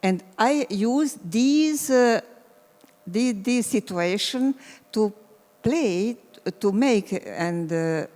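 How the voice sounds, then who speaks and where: A composer from former Yugoslavia, a woman whose first language is Hungarian, speaking halting English with a Hungarian accent and searching for words. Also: mid-range voice, darkish tone. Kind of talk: hesitant panel talk. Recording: reverberant hall.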